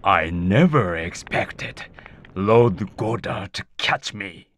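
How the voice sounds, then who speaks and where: A corrupt, pompous minister in a video game. He speaks extremely slowly, with big swings in pitch, smug and deliberate. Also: animated, fairly bright tone, heavily acted. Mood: resigned. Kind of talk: video game cutscene acting.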